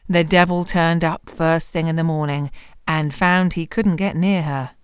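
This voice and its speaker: A woman storyteller giving an expressive narration of a fairy tale. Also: sits low, unhurried, clear, resonant tone, no echo, studio quality.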